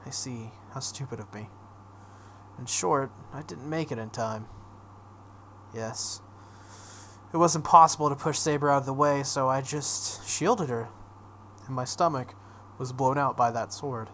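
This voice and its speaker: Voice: medium pitch, measured pace, fairly bright tone, slight background noise. A let's play host reading visual novel text aloud dramatically, breaking off into casual reactions.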